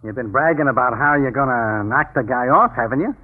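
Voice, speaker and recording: A man's voice: medium pitch, brisk, natural voice. A man playing a blunt, skeptical, wisecracking police inspector with slangy, streetwise talk in a 1940s radio drama. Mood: needling, suspicious. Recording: muffled, lo-fi 1940s radio recording.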